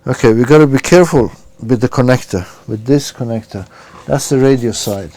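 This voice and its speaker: A man's voice: mid-range voice, fairly quick, warm tone, no echo. A man narrating an informal hands-on repair tutorial, giving careful step-by-step instructions and sometimes muttering to himself. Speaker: repair demonstrator.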